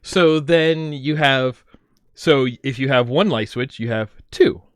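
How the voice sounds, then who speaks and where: A man hosting a casual livestream, talking and explaining things in a rambling, animated way. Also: medium pitch, takes it slowly, smooth, dry recording, studio quality. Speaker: livestream host. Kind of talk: casual livestream chat with his mother.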